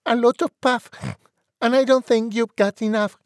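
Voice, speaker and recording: High squealing little pig. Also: male voice, normal speed, no room sound, studio quality. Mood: defiant. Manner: squealing, pleading, excitable. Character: small, frightened but clever, squealing male little pig. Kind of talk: animated storybook character acting.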